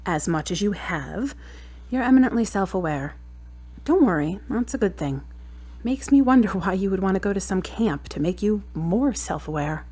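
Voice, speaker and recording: A woman's voice: mid-range voice, expressive, moderate pace, dark tone, breathy edge. Wry, exacting girlfriend played by a woman. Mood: wry, probing. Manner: wry, challenging, pointed questioning. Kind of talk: audio drama dialogue.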